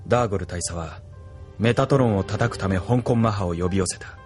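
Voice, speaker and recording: An actor voicing a cold, ambitious young officer who speaks in a cool, detached way, in a studio radio drama. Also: male voice, fairly low pitch, fairly quick, darkish tone, soft background music, close to an everyday voice. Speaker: military officer and pilot.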